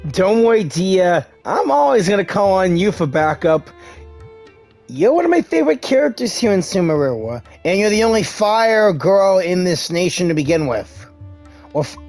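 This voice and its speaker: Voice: medium pitch, big pitch swings, moderate pace, darkish tone, faint music behind. A gamer giving casual, enthusiastic commentary and talking back to the game's characters. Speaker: gaming content creator. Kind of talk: casual reactive game commentary.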